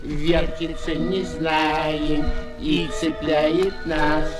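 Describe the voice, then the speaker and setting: Singing hammer-man over loud music. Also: fairly high pitch, level tone. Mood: dutiful. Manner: singing, measured, marching delivery. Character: obedient, busy little hammer-men who strike bells. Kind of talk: children's musical audio play.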